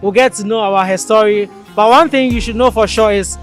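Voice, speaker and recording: A man hosts an interview show in a notably high voice. He sounds upbeat and promotional, leading with follow-up questions. Faint music plays behind him. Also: steady tone, fairly quick. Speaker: host.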